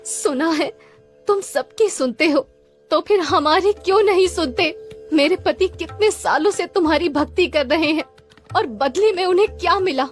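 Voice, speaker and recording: A woman's voice: very high voice, moderate pace, faint music behind, voice pushed up. A woman voicing a poor sculptor's weary, scolding wife in a cartoon story, complaining and reproachful. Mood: bitter reproach.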